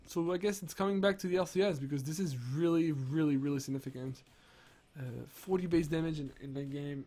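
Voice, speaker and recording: A young man with a sick, strained voice, rambling excitedly through game patch notes with lots of restarts. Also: on the high side. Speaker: gaming streamer. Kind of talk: casual patch-notes commentary.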